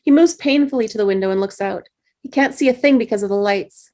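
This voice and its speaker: A woman's voice: on the high side, fast. Woman reading screenplay directions aloud. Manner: steady reading with small slips and restarts. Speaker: narrator reading stage directions. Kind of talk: table read of a screenplay.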